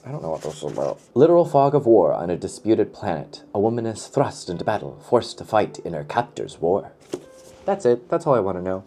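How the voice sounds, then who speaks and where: A man's voice: deep voice, mellow. A man talking casually to camera as a book vlogger, playful and emphatic, often repeating a phrase for effect.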